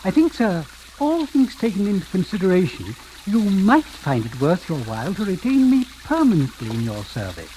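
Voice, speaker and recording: Casting: blackmailing servant, deferential and precise with a swooping melody, vintage radio drama, slightly noisy recording. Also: male voice, high-pitched, huge pitch swings, warm tone. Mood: slyly insinuating. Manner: formal, obsequious, measured hesitations. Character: deferential, sly blackmailing manservant, coolly polite. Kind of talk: 1940s radio acting.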